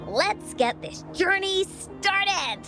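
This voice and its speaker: A woman's voice: very high-pitched, lively intonation, extremely slow, music in the background, full character voice. A woman voicing a quirky, confident little girl in a video game, with playful, childlike pep. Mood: playful, eager. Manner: speaks of self in third person, childlike pep. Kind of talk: video game character acting.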